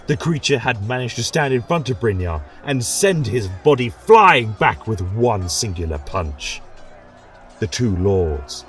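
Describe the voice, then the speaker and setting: Low-voiced man narrating a dark fantasy. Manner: measured, dramatic narration. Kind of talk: dramatic fantasy story reading.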